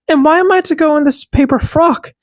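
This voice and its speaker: A reader playing a meek, high-voiced young maiden. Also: brisk, dry recording, clean studio sound, lightly acted. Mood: dismayed, pleading. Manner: plaintive, meek. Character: gentle, obedient, mistreated young maiden.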